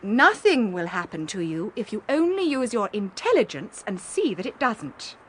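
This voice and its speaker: An actress playing a practical, well-bred young lady in a radio drama, with an upper-class southern English accent and a crisp, condescending manner. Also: medium pitch, big pitch swings, normal speed, slight background noise, lightly acted. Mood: brisk. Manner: crisp, prim, politely condescending.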